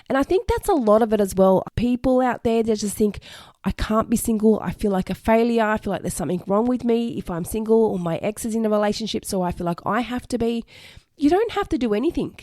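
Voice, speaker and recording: A woman hosting a solo advice podcast, her voice a little croaky from recent illness, earnest and reassuring as she repeats her key points. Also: medium pitch, warm tone, no room sound, clean studio sound. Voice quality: slightly hoarse. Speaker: podcast host.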